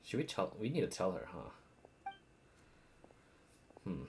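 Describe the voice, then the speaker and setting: A man's voice: deep voice, wildly swooping pitch, slow, gravelly, slight background noise. A man streaming a video game, reading dialogue aloud and reacting.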